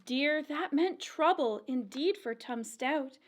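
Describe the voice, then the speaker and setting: A woman reading a rhyming picture book aloud to young children as a storytime librarian, with an expressive, deliberate, sing-song storyteller delivery. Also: sits high, slight background noise.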